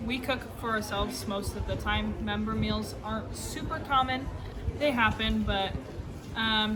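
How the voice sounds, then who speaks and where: A young woman from Colorado, a missionary, answering interview questions in a friendly, chatty way with many fillers. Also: a little high. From Colorado, USA. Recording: loud background noise.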